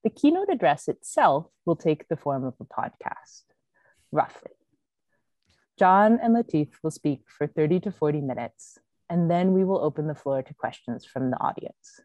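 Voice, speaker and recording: Low-voiced woman reading a prepared introduction. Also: very animated, normal speed, darkish tone, no room sound, dull audio. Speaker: event speaker introducing the keynote. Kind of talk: scripted introduction read aloud.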